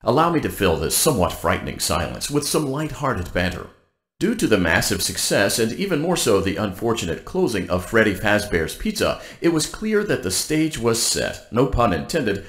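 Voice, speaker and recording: Echoey, low-pitched cheerful robot guide. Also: male voice, normal speed. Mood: blandly cheerful. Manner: upbeat corporate formality, deadpan about danger. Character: cheerful, blandly polite robotic guide for night-shift workers. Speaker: automated workplace guide. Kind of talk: scripted game announcement.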